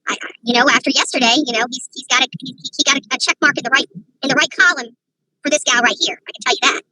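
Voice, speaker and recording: A woman's voice: high voice, fast, bright, forceful tone, dry recording, poor audio. A woman giving opinionated, rambling livestream commentary from her phone, often breaking into indignant rhetorical questions. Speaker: online commentator.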